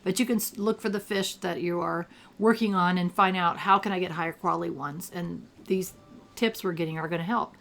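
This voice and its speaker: An older retired woman with a gravelly, allergy-roughened voice, chatting casually while she plays a game and thinks aloud. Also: on the low side, fairly even intonation, fairly quick, fairly bright tone. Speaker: gameplay commentator. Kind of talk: casual gameplay commentary.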